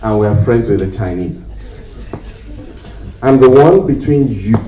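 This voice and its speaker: A man's voice: lowish voice, expressive, a little slow, mellow, a little hiss or hum. A man giving a conference talk in a hotel hall, telling jokey anecdotes and acting out the people in them. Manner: jokey storytelling, acting out quoted voices. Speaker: conference speaker. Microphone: handheld mic, later set down. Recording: echoey hall, speaker far from mic.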